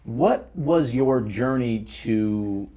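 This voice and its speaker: A man hosts a relaxed, comedic interview in a mid-pitched voice. He teases and jokes as he puts his questions, in the loose manner of a stand-up comic. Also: very slow. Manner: joking, teasing. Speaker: interview host. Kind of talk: casual comedic interview chat.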